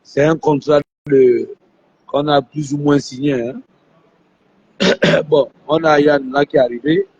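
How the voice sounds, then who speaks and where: Man, host, hoarse with flu, dark husky tone, muffled phone sound. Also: fairly high pitch, fairly even intonation, slow, pauses often, a little hiss or hum. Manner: talks to viewers, repeats himself. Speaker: live stream host. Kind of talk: live phone interview. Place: airport.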